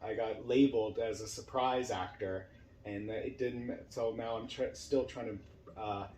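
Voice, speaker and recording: A man's voice: on the low side, fairly even intonation, measured pace, a little mellow. A man talking casually as a podcast host and actor, telling stories in a room at a home. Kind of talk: casual chat. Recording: roomy echo, faint background noise.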